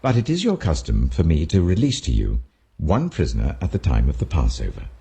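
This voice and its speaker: A brusque Roman governor with a British accent, voiced by a male narrator, questions with weary, imperious authority. The voice is very low and gravelly, swinging sharply in inflection, as in a dramatised audiobook. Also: huge pitch swings, fairly quick, mellow, heavily acted.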